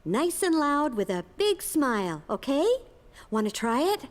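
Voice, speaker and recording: High, lilting cartoon schoolteacher. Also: female voice, big pitch swings, a little slow. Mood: encouraging. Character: encouraging female schoolteacher directing a children's play. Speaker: school play director. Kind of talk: scripted children's cartoon acting. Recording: long hall reverb.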